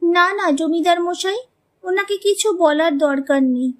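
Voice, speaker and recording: A woman's voice: very high voice, a little slow. A woman voicing a proud, polite young bride in a cartoon story, earnest and firm. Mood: hurt, resolute. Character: proud, polite, determined young village bride turned professional. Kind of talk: animated story dialogue.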